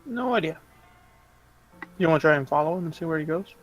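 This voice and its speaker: A man's voice: a little high, animated, brisk, soft background music, poor audio. A man talking and roleplaying casually as a player in a tabletop game.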